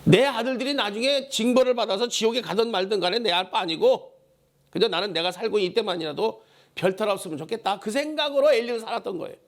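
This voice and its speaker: A male pastor delivers a church sermon in a high, worn voice. It is hoarse and heavily creaky. He exhorts emphatically, driving key phrases home by repeating them. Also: fast. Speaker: pastor preaching a Sunday sermon. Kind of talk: Korean church sermon with call-and-response prompts. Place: church service.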